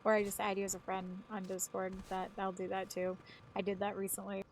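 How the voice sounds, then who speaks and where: A woman's voice: medium pitch, monotone, brisk, a little hiss or hum. A woman in her thirties, a live streamer chatting casually, rambling and swearing freely. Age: 30s. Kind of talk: casual chat.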